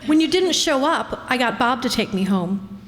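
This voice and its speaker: A young society woman from a radio crime play, played by a woman, headstrong yet anxious. Her voice is high and carries the long reverb of a hall. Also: expressive, moderate pace, a little hiss or hum. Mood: breezy. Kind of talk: old-time radio crime drama acting. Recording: echoey hall.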